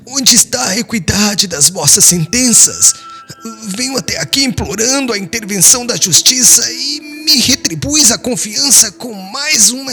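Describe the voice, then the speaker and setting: An aged Israelite man, acted by a male audiobook reader, pleading in a high, strained-upward register. His delivery is impassioned and indignant, rising and falling dramatically, with music underneath. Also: bright, forceful tone, heavily acted. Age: old.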